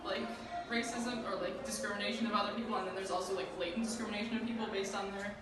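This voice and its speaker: A young woman, interviewed, talking quickly and filling with "like". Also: medium pitch, steady tone, loud music behind the voice. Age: young adult. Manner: rapid, peppered with "like". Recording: very echoey, distant, loud noise.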